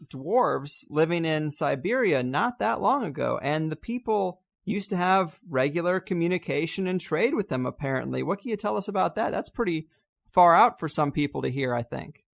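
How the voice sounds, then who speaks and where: A man hosts a casual interview. His voice is clearly creaky, with a gravelly fry, and he asks his questions in a loose, conversational way. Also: on the high side, animated, moderate pace. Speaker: interview host.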